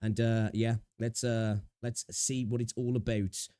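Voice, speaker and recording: A man's voice: medium pitch, flat delivery, measured pace, warm tone, no room sound, studio quality. A young man chatting casually on a live stream, a little sniffly with a sore throat, rambling and greeting viewers. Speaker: streamer reacting to videos.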